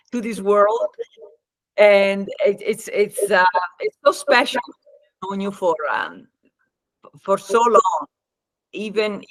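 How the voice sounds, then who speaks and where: A woman with an Italian accent speaking as an interview guest, halting and pausing often mid-thought. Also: medium pitch, fairly bright tone, no echo, low-quality recording. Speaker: interview guest, life coach and psychologist. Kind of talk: conversational interview.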